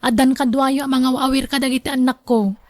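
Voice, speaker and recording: A headstrong young single mother voiced by a woman in a radio drama, high in pitch and closely, dryly recorded. She sounds carefree and defiant, brushing others off. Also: steady tone, brisk, darkish tone, no echo, close to an everyday voice. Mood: dismissive. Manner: defiant, dismissive. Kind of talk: Ilocano radio drama acting.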